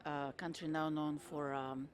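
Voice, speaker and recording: A woman in her fifties, originally from Croatia, answering interview questions in a measured way with frequent ums and uhs. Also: low-pitched, level tone. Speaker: interviewee, retired federal worker. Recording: crowd chatter behind. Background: crowd chatter.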